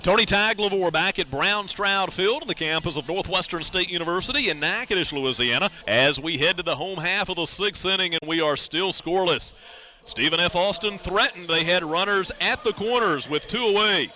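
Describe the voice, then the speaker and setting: Bright-voiced man calling baseball on radio. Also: high-pitched, normal speed. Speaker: radio play-by-play announcer. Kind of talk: live baseball radio commentary.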